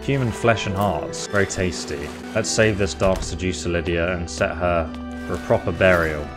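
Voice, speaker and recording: A man's voice: deep voice, normal speed, music in the background. A man giving casual, playful game playthrough commentary as a video host, chatting and reacting aloud as he goes.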